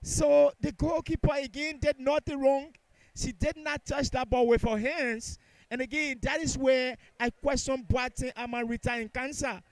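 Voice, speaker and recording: A man's voice: extremely high pitch, steady tone, moderate pace, dry recording, poor audio. A man giving live football commentary in a critical, opinionated way, repeating points for emphasis.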